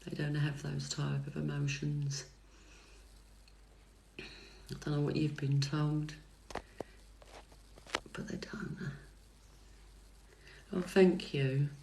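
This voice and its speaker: A middle-aged woman, a psychic tarot reader chatting on a livestream and answering comments in a soft, calming voice, hesitant with many fillers and restarts. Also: deep voice, slow, gravelly, pauses often, a little hiss or hum. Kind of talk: casual livestream chat answering viewer comments. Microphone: phone livestream mic.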